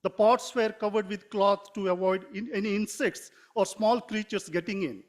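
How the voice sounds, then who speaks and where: Indian English man, high-pitched, native Konkani speaker, measured formal reading with small self-corrections. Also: steady tone. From Mangalore, India. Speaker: writer giving a talk. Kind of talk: formal prepared speech.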